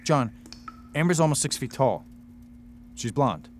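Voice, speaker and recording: An actor playing a weary, sardonic young ghost hunter, narrating and talking in a wry, deadpan way in a radio drama. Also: male voice, mid-range voice, a little slow, slight background noise. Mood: uneasy. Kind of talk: first-person radio drama narration and dialogue. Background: loud dramatic music.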